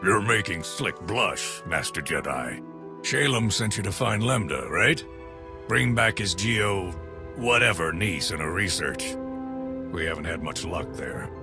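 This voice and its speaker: An actor playing a rough, sardonic former mining foreman turned militia fighter in a video game, speaking in a laconic, teasing way. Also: deep voice, lively intonation, a little slow, over music, heavily acted. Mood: dry, teasing. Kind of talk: video game cutscene acting.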